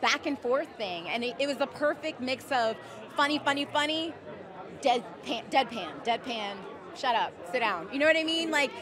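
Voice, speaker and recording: A woman's voice: high voice, animated, moderate pace. A woman in her thirties, an actress, gushing in an outdoor red carpet interview into a handheld mic. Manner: bubbly, gushing. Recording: crowd chatter behind.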